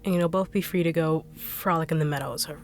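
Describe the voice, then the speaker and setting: A woman's voice: low voice, a little fast, music in the background, close to an everyday voice. Woman voicing a sneering treacherous bodyguard villain. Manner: taunting, cold, sneering with quiet menace. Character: treacherous female bodyguard turned villain, greedy and mocking. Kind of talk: audio roleplay acting.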